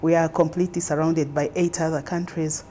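A woman's voice: sits low, flat delivery, brisk, warm tone, a little hiss or hum. A middle-aged woman from Zambia, a government trade official, explaining things fluently in an interview. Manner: fluent, explanatory, occasional fillers. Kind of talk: on-camera interview answers. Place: conference room indoors.

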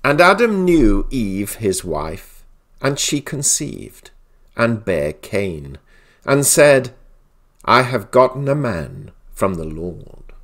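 A man, a pastor, giving a solemn Bible reading in deliberate, dramatic phrases with pauses. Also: fairly low pitch, big pitch swings, a bit bright.

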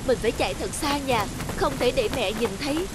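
An actor voicing a devoted, anguished young peasant son in an animated folk tale, earnest and pleading. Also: sits high, a little fast, very noisy. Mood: anxious.

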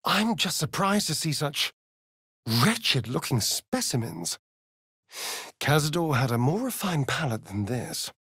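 An actor with an English accent playing a sardonic, flamboyant vampire spawn rogue in a video game, theatrical and arch in manner. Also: male voice, a little high, big pitch swings, unhurried, sharp tone, breathy edge, dry recording, clean studio sound, heavily acted. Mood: bitter. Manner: theatrical, arch, drawling with sighs. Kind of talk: video game character acting.

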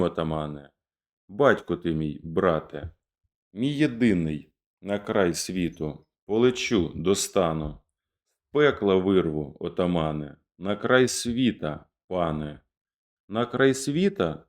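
A man's voice: deep voice, big pitch swings, slow, full character voice. A man voicing a grieving, vengeful young male rebel in a declaimed verse reading. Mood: impassioned. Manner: impassioned, declamatory.

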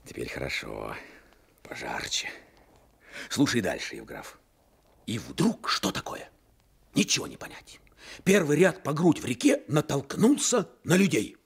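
An actor playing a brash, hearty Red cavalry commander in a Soviet radio play, with a husky voice and colloquial, animated delivery. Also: male voice, medium pitch, unhurried, long pauses, full character voice. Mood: boastful storytelling.

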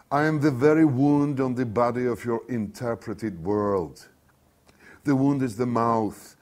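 A man in his fifties giving a dramatic, declamatory reading of literary prose, with deliberate pauses, as an author reads his novel. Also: on the low side, darkish tone. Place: small bare studio room, seated at a desk.

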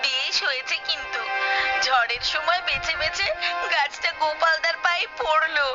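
A woman's voice: high-pitched, normal speed, natural voice. A woman voicing a gleefully mocking, gossipy neighbour in a village cartoon, with a teasing manner. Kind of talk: cartoon voice acting. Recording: dull sound with music underneath.

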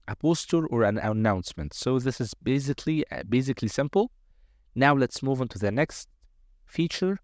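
A man presenting a step-by-step software tutorial in a semi-improvised narration over a screen recording. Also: medium pitch, no room sound, studio quality.

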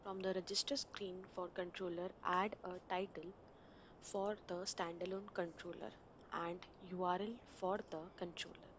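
A woman with a fairly low voice narrates a software tutorial, reading each step in a steady instructional way. She keeps a measured delivery and makes small grammar slips. A faint low rumble sits under her voice. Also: lo-fi sound. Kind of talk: read screen-recording walkthrough. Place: voice-over for a screen recording.

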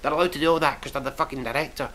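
A man's voice: fairly high pitch, fairly even intonation, racing, fairly bright tone, a little hiss or hum. A man, commenting on posts he reads out in a scornful, mocking way with plenty of swearing, recorded indoors in a small room on a webcam. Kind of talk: reaction commentary reading posts.

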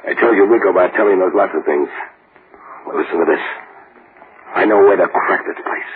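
Male actor as low-pitched gangster prisoner, wry, scheming, slangy broken grammar, muffled old radio drama. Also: a little fast, slight background noise, lightly acted. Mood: sly, knowing. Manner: sardonic tough-guy slang, broken grammar. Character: cocky, wry condemned gangster convict, scheming. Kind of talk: old-time radio acting.

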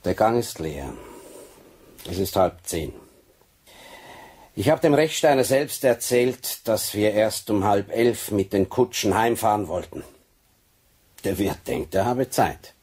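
A methodical police sergeant in a radio crime drama. His voice is low and husky. He lays things out step by step, deliberate and insistent. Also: lively intonation, a little mellow, heavily acted. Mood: grave, insistent. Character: methodical Swiss police sergeant laying out a case.

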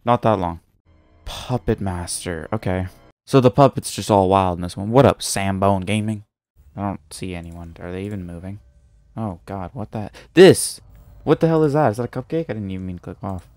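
A man's voice: low-pitched, moderate pace, darkish tone, no echo. A young man giving casual, offhand live gaming commentary, muttering to himself and swearing. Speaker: game streamer.

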